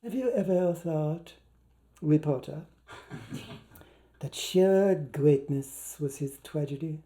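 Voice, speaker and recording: A man's voice: on the high side, dark tone, voice pushed down. Girl detective for literary stage piece played by a man: languid, grand, officiously judicial. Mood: pompous, judicial. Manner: languid, halting, pompous. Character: languid young woman detective, grandly pronouncing judgement.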